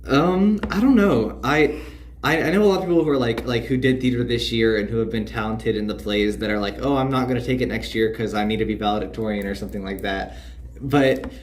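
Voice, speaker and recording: A man's voice: mid-range voice, a little fast, a little hiss or hum. A teenage male podcast guest chatting casually and ramblingly, saying "like" often. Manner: rambling, heavy use of "like". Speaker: podcast guest, student actor. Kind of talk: casual chat.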